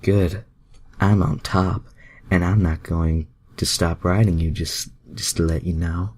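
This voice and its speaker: A man's voice: sits low, fairly even intonation, a little slow, a little mellow, husky. A man voicing a bold, flirty woman from superhero fan fiction, with a sultry, pushy manner.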